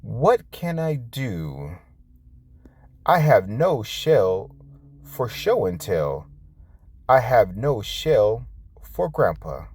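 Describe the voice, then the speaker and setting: A man's voice: mid-range voice, big pitch swings, very slow, long pauses, darkish tone, husky, heavily acted. A man voicing an eager, earnest young cat boy in a children's storybook. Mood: puzzled, pleading.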